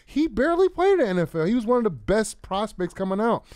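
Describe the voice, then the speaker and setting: Male sports co-host, thirties, ex-player style analysis, fairly high pitch, repeats phrases conversationally, dry close-miked studio. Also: big pitch swings, fast, darkish tone, smooth, no echo. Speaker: former pro football player, co-host. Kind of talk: casual sports talk, analysis. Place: small podcast studio. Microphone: close broadcast mic on boom arm.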